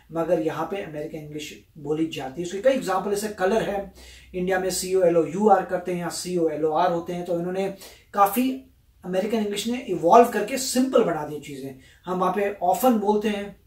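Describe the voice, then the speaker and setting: A man's voice: high voice, a little mellow. A man from India explaining patiently in casual chat, often slipping into English phrases. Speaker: reaction vlogger. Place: US home. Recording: echoey room, far from the mic.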